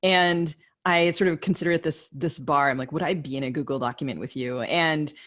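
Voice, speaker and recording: A woman's voice: low-pitched, brisk, a bit bright. A woman with an American accent co-hosting a podcast, asking questions in a thoughtful, conversational way. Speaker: podcast co-host. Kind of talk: casual interview questions.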